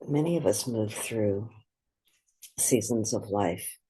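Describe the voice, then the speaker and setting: A meditation guide speaks very slowly in a soft, husky, breathy voice. The delivery is gentle and unhurried, with short phrases set apart by long pauses, guiding the listener step by step. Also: mid-range voice, low-quality recording.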